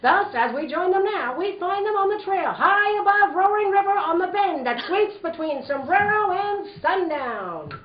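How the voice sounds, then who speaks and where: A woman's voice: extremely high pitch, lively intonation, moderate pace, roomy sound, dull audio. A woman playing the narrator of an old-time radio western, announcing in a dramatic, declamatory way.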